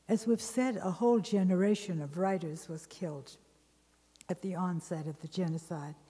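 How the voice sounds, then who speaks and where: A middle-aged woman reads poetry from a page at a podium mic, slow and measured. Her tone is soft and mellow. Her voice carries a long reverb from a large hall. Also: medium pitch, slightly rough. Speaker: reader at a literary memorial. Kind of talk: poetry reading. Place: large ornate library hall. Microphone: podium mic on a stand.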